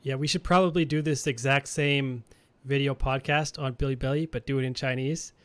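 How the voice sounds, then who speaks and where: A man from Canada chats casually as a podcast co-host. His voice sits at a middle pitch, and he thinks aloud with restarts and easy chuckles. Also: fairly even intonation, fairly quick.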